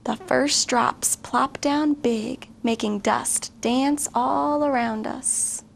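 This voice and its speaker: A woman's voice: high-pitched, fairly even intonation, takes it slowly, gravelly. A woman reading a picture book aloud expressively to children. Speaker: guest story reader. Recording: faint background noise.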